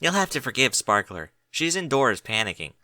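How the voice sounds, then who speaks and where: A man's voice: medium pitch, sing-song, normal speed, pushed and bright, dry recording. A man voicing a cheerful, friendly, easily flustered female pegasus pony in an audiobook. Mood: surprised, flustered. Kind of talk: amateur audiobook character voice.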